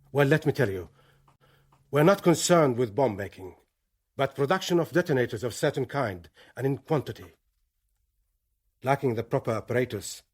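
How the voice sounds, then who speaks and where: An actor playing a Palestinian militant commander in a radio drama, deliberate, formal and imperious, with a menacing courtesy. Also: male voice, mid-range voice, darkish tone, lightly acted.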